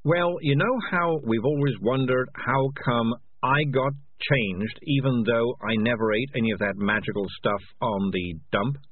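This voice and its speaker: A man's voice: medium pitch, unhurried, few pauses, husky, narrow, tinny audio, voice pushed up. A man voicing a talking tomcat in a storybook, gloomy, defensive and guilt-ridden, blustering and evasive. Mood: uneasy, evasive.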